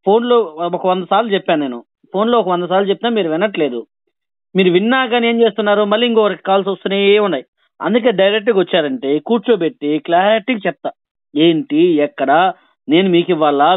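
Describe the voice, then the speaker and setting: Give the voice of a man arguing as a borrower on a phone call. He speaks extremely fast in a high voice, sarcastic and insistent, often repeating his point. The sound is narrow and thin, like a recorded phone line. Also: dry recording. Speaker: borrower on a debt collection call. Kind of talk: argumentative phone conversation.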